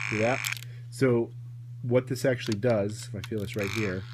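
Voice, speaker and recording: A man giving a casual, rambling hands-on toy review, often correcting himself as he goes. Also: lowish voice. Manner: rambling, self-correcting asides. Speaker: toy collector reviewing a vintage toy. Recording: noisy home recording with electrical hum.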